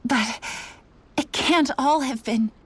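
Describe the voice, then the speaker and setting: A woman's voice: high voice, slow, a bit bright, breathy edge, exaggerated voice. An incredulous, anxious noblewoman. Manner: incredulous and protesting. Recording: game audio over music.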